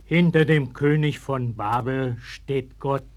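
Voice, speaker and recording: A man playing a stern, compassionate prophet in a radio play, speaking in a solemn, measured, declamatory way. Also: mid-range voice, darkish tone, natural voice. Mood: stern, resolute. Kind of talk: German radio play acting. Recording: early 1960s vinyl radio-play record.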